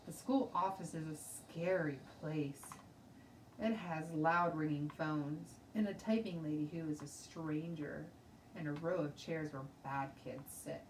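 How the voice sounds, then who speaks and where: A woman teacher reading a children's storybook aloud in an expressive, deliberate way. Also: low voice. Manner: deliberate, animated read-aloud. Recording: roomy, distant mic, faint noise.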